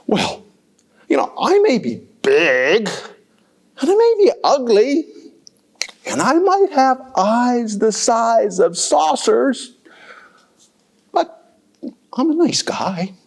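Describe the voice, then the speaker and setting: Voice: mid-range voice, slow pace, vocal fry, pauses often, slightly rough, pitched above the performer's own voice, heavily acted. A storyteller playing a big, misunderstood troll who introduces himself in a chatty, touchy way. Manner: chatty, touchy, swinging from calm to outburst. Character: big sensitive troll telling his side.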